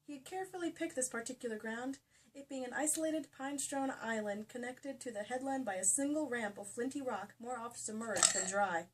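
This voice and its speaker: A high-pitched female voice reads prose aloud in a mock-dramatic way. She is jokey and informal, slipping between the narration and offhand comments as a co-host would. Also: brisk. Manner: jokey, breaks into laughter while reading. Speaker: co-host reading a romance novel aloud. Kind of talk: comedic dramatic reading with casual asides. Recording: faint background noise, amateur home video.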